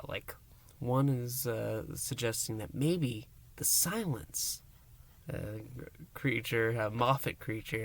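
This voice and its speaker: Slow, theatrical male reviewer. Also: medium pitch, gravelly. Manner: theatrical, emphatic, with dramatic pauses and hushed words. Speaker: podcast review host. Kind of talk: dramatic scripted-style recap and review.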